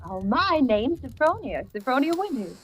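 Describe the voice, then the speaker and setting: A woman's voice: sits high, big pitch swings, normal speed. An actress playing a shy, romantic spinster in her forties, breathless and girlish, in a 1940s radio suspense drama. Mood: shy, flustered. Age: 40s. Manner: breathless, girlish, fluttery, hesitant. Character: shy, gushing, romantic spinster of forty writing her diary. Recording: hissy old radio recording with music.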